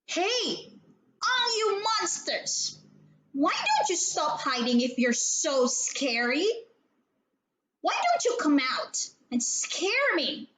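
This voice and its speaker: A woman plays a bold little storybook girl with a high voice that swings up and down. She is defiant and dramatic, stretching her words out for emphasis. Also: wildly swooping pitch, a bit bright, heavily acted, poor audio. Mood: bold, challenging. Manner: emphatic, drawn-out exclamations.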